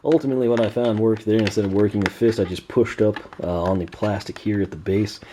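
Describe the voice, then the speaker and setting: A man with a hoarse, worn-out voice, casually talking through a toy unboxing with plenty of fillers and throat clearing. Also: lowish voice, fairly even intonation, quick pace, noisy background. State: hoarse, losing his voice. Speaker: toy collector unboxing host.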